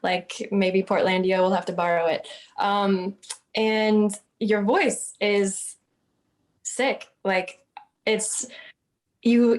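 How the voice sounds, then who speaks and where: A woman industry guest giving casual, enthusiastic feedback on a performance. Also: medium pitch. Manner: enthusiastic, loose with fillers.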